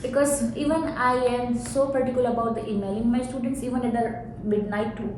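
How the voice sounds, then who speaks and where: Loud, animated woman professor from India. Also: a little high. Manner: loud, animated, laughs often, quick bursts. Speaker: college professor. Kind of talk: candid interview answers. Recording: echoey room with background noise.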